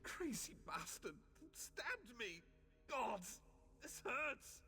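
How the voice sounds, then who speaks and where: An actor playing a wounded, regretful male cultist in a video game, husky and strained with pain. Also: extremely high pitch, big pitch swings, drawn-out words, pushed and bright, full character voice. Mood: pained, desperate.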